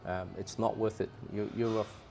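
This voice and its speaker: A man's voice: on the low side, little pitch movement, fairly quick, vocal fry, a little mellow, soft background music. A man from Australia, a guest in a casual interview, earnestly giving plain, repeated advice to travelers.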